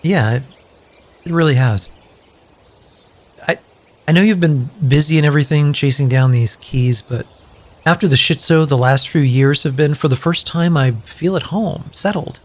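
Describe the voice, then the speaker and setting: An actor playing a sincere, joking wasteland survivor in an audio drama, speaking earnestly and hesitantly with wry, profane humour. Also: male voice, a little high, moderate pace, natural voice. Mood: tender. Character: sincere, grateful, joking male wasteland survivor, loyal friend. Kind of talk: audio drama dialogue, naturalistic.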